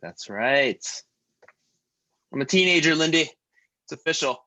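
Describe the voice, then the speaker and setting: A man in his thirties leading a stretch class, joking between calm breathing cues. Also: fairly high pitch, a little slow. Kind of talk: guided stretching class with casual chat.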